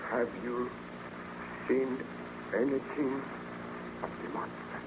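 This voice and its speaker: Fearful young nobleman, anxious, evasive, melodramatic swoops in pitch, halting phrases, noisy old-time radio sound. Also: big pitch swings. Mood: anxious, urgent.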